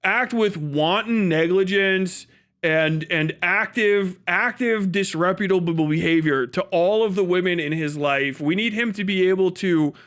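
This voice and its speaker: A man's voice: high-pitched, measured pace, a bit bright, clear, resonant tone. An American man livestreaming an unscripted rant as a commentator, blunt, sarcastic and profane, emphatic and given to acting out mock dialogue. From United States.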